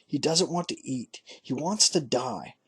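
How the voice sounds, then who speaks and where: A man voicing a hurried, curt young rider, in a husky voice. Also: mid-range voice, animated, fairly bright tone, exaggerated voice.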